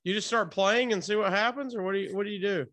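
A man's voice: high voice, quick pace, a bit bright. A man hosting a casual interview, chatting in an informal, rambling, buddy-like way. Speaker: interview host.

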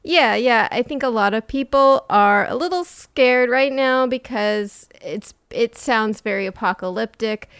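Slow, smooth-voiced woman explainer. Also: fairly high pitch, fairly bright tone. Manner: playful, jokey explaining. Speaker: science explainer host. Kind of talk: casual podcast chat.

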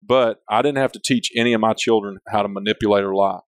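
A big-voiced man with a lowish pitch talks openly as an interview guest. He rambles, circles back and restarts his thoughts mid-sentence. The sound is close and dry, with no room echo. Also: steady tone, fast talker. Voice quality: big voice, big personality. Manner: rambling, self-interrupting, candid. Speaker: company leader, interview guest. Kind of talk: casual conversational interview.

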